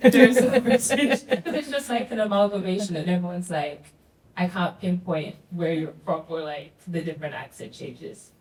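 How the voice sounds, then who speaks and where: A woman's voice: lowish voice, normal speed, darkish tone, far from the mic, poor audio. A woman audience member asking a panel question in a chatty, self-deprecating way. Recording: audience voice from off-mic.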